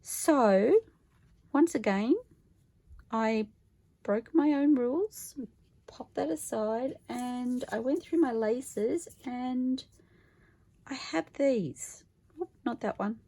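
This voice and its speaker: A woman with a croaky voice, chatting casually to camera about her craft project, thinking aloud and correcting herself as she goes. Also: on the high side, slow, long pauses, dark tone, dry recording. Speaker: crafter vlogging her junk journal project. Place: home craft table, filmed from overhead.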